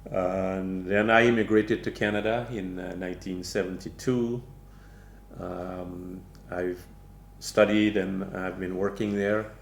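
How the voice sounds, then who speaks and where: Slow, gravelly-voiced older man. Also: deep voice, fairly even intonation, roomy sound, a little hiss or hum. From Guyana. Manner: slow, measured, halting with many fillers. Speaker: guest. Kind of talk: interview conversation.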